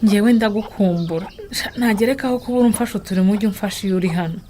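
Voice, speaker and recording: A woman acting as an affectionate Rwandan mother who teases gently in a radio play. She talks quickly at a middling pitch, with faint animal and bird sounds behind her. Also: slight background noise, natural voice. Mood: teasing affection. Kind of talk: radio drama dialogue.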